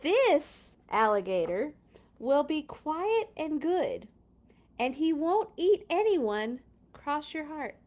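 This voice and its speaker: A woman reading a children's storybook aloud in a playful, expressive way, with casual chatty asides. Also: high-pitched, slow pace. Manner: playful, expressive, exclaims and comments while reading.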